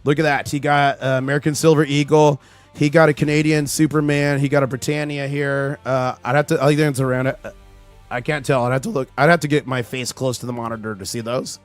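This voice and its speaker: A man hosting a live market stream in a chatty, excitable way, reading viewer comments aloud while his voice recovers from being lost. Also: medium pitch, brisk, faint music behind. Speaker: livestream host.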